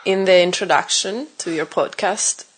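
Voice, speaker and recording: A woman's voice: fairly low pitch, normal speed, breathy edge, low-quality recording. A woman acting as a guest interviewer on a podcast, asking short, playful questions in casual conversation.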